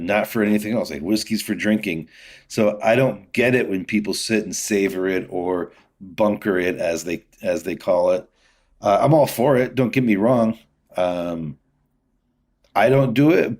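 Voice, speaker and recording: A middle-aged man with a fairly low voice speaks as a guest on a casual podcast. He explains his craft in an easygoing, rambling manner, as in relaxed conversation. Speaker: podcast guest, whiskey distiller. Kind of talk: casual interview talk.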